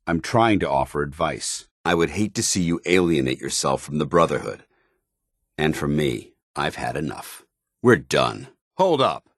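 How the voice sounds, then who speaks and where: An actor playing a stern, duty-bound military officer in a video game, speaking formally and with command. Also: male voice, low voice, husky, no room sound, studio quality, exaggerated voice. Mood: angry, firm. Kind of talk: video game companion dialogue.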